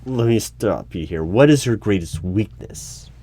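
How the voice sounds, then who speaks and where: A man playing a wary, probing hiring manager in a one-sided audio roleplay, speaking haltingly with stammers and repeats. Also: lowish voice, expressive, mellow, a little hiss or hum. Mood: probing, critical. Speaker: hiring manager at a secret agency. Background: computer keyboard typing.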